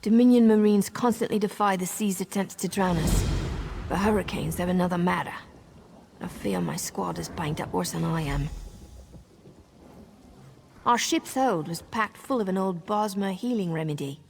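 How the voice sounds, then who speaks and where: Creaky-voiced stranded elf marine sergeant. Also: female voice, fairly low pitch, normal speed, background noise. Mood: worried, resolute. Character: stranded elf marine sergeant, resolute, worried for her squad. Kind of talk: fantasy video game dialogue.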